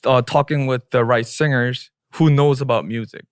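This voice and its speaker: A man whose first language is Korean talks through his points on a podcast at an unhurried, explanatory pace. He switches into Korean phrases mid-sentence. His voice sits at a middle pitch and sounds close and dry, with no room echo. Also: steady tone, smooth. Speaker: podcast co-host. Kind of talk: casual podcast discussion.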